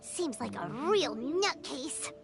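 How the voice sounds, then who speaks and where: Squeaky, excitable fairy sidekick. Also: female voice, slow, creaky, music in the background, full character voice. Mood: annoyed. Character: small excitable childlike female fairy companion, indignant, cheeky. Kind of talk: video game voice acting.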